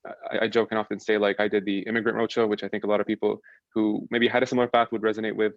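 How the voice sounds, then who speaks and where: A young man from Lebanon, a podcast guest, chatting casually and relaxed about his background, often restarting his sentences. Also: on the low side, very fast, no echo, highs cut off. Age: young adult. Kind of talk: casual chat.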